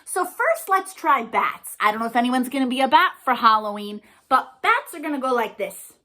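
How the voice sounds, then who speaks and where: A woman's voice: high-pitched, expressive, moderate pace, bright, forceful tone. A woman hosting an interactive storytime for young children, encouraging and playful, often asking her listeners questions. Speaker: children's storytime host and librarian. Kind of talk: interactive read-aloud and singalong for young children.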